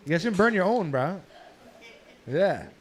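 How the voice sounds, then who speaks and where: A man's voice: high voice, lively intonation, a little slow, crowd noise. A man chatting casually in a laid-back, slangy way with plenty of swearing. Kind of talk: casual chat. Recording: room recording with clear background noise.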